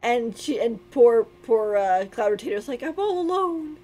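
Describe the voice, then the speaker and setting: A woman's voice: on the high side, expressive, unhurried, mellow, low-quality recording. A woman streamer giving casual commentary while playing a game, giggly and playful, mimicking the characters.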